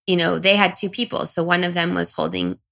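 Woman, thirties, low bright voice with vocal fry, candid interview guest, confiding and reflective. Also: big pitch swings, a little fast. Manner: reflective, confiding, self-questioning. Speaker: interview guest, single parent. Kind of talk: candid personal conversation.

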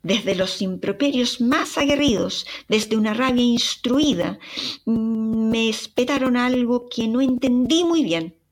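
A young Chilean woman with a Chilean accent and a breathy voice, dramatically telling a personal travel story as a podcast narrator. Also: a little high, measured pace. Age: young adult. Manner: expressive, dramatic retelling with sighs and exclamations.

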